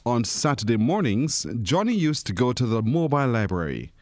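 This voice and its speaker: A man's voice: mid-range voice, sing-song, moderate pace, darkish tone, dry recording, studio quality. A man reading a children's storybook expressively, as a narrator who acts out the characters. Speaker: story reader.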